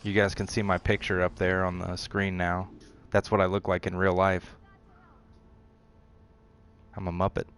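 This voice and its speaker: A man's voice: sits low, monotone, moderate pace, long pauses, a bit bright, a little hiss or hum. A man giving rambling, self-deprecating commentary while streaming a video game. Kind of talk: casual gameplay commentary.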